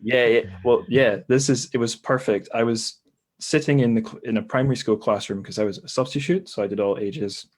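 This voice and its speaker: A man's voice: mid-range voice, fairly quick. A man hosting a casual podcast conversation, speaking informally in a rambling, self-correcting way. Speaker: podcast host. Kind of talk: casual chat.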